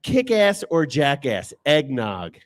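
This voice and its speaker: A man hosting lively group banter, teasing and playfully stern as he keeps things moving. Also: mid-range voice, slow pace. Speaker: host running a quiz-style segment.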